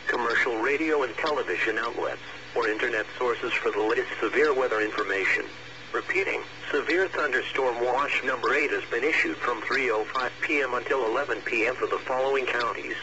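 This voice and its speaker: A man reading a scripted weather alert bulletin in a formal, stilted automated manner over weather radio. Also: medium pitch. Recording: muffled, noisy weather radio broadcast.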